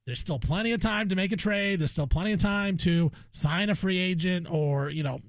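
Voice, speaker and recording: Male sports radio host, notably high voice, dry close studio sound, casual opinionated talk. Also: normal speed, no echo.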